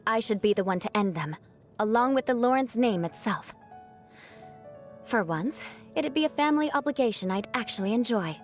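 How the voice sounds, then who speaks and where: A woman's voice: medium pitch, close to an everyday voice. A young woman voicing a proud, haughty noblewoman knight in a video game, an outcast bent on revenge, with formal, dramatic diction. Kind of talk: video game character voice lines.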